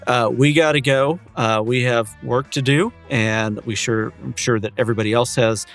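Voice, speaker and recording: A man's voice: medium pitch, fairly even intonation, moderate pace, faint music behind. A man co-hosting a podcast in a casual, conversational style. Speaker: podcast co-host.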